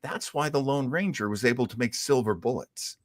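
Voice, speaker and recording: A man hosting a show, explaining in casual talk with a halting, thinking-aloud delivery. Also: mid-range voice, no room sound, studio quality. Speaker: show host. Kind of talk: casual explanatory talk about old radio heroes.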